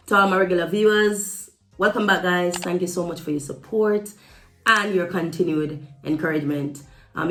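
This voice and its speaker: A woman with a Jamaican accent speaks in a roomy, echoing space with music playing behind her. She talks casually to her viewers as a vlog host, in a medium-pitched voice, stressing points by repeating words. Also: fairly bright tone. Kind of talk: casual opinion talk to camera.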